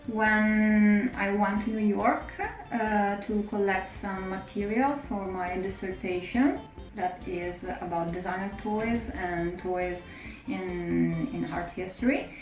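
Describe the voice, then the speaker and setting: A woman from Italy, chatting about her toy collection in a chatty, giggly, deliberate manner. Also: mid-range voice, fairly even intonation, a little mellow, soft background music. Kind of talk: casual video testimonial. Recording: echoey room.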